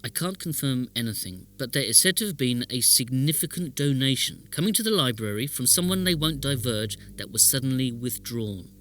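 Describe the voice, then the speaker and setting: A man's voice: medium pitch, expressive, brisk, few pauses, a bit bright, soft background music, natural voice. A man playing a resentful poor relation of a village lord in a podcast drama, speaking in an aggrieved, emphatic way. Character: bitter, resentful brother of a wealthy village lord. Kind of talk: podcast audio drama acting.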